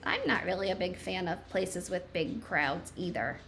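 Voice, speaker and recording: A woman reading a picture book aloud to children in an expressive, emphatic way, pausing to explain and ask questions. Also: fairly low pitch, creaky, a little hiss or hum.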